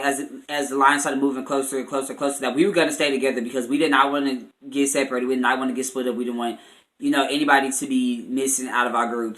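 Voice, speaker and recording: A man's voice: medium pitch, a bit bright, poor audio. A young American man chatting casually as a vlogger, telling a story in a chatty way with slangy filler phrases.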